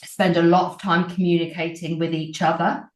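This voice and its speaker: Earnest businesswoman, 40s, slightly low voice, roomy recording. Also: flat delivery, normal speed, a little mellow. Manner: earnest, many ums and restarts. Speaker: real estate agency owner and broker. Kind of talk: interview answers. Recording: roomy echo.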